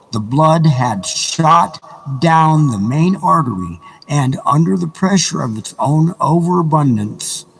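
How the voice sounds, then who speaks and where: A man narrating an audiobook live in a deliberate, measured, dramatic reading style. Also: a little high, husky, long reverb tail, low-quality recording. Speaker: narrator and reader. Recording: live reading with background ambience noise. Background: ambient background sounds.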